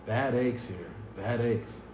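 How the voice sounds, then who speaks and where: Man reading a storybook, doing a pilot gripped by a heart attack, hissing low through the pain, the voice caught in a boomy bare room with a faint hum behind. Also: slow pace, a little mellow, reverberant, close to an everyday voice. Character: middle-aged male bush pilot, hit by a heart attack.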